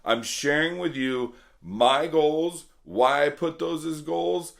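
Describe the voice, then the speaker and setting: A middle-aged man with a slightly hoarse voice, talking earnestly and casually to his viewers as a vlog host, repeating points for emphasis. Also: fairly high pitch, slow. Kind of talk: casual motivational talk to camera.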